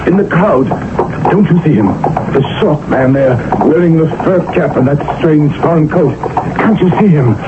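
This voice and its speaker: Haunted Victorian captain, very noisy. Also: male voice, a little high, animated, unhurried. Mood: frantic. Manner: tense, dramatic delivery. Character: haunted, agitated Victorian sea captain, fearful and obsessed. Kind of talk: 1970s radio drama acting. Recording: very noisy, muffled old radio broadcast.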